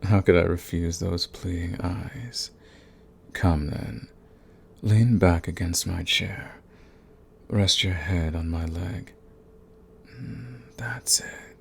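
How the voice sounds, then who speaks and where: Man performing a sadistic vampire master for ASMR roleplay: very low, gravelly, slow, seductive and possessive. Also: a little hiss or hum, heavily acted. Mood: soothing, possessive. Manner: slow, coaxing, condescending, drifting into breathy whispers.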